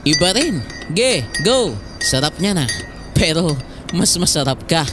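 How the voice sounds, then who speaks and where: A man's voice: on the high side, sing-song, unhurried, music in the background. A young man with a Filipino accent acting an easygoing, teasing male student in a conversational audio drama. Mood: playful, teasing. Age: young adult. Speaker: voice actor. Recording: clearly noisy home-style recording.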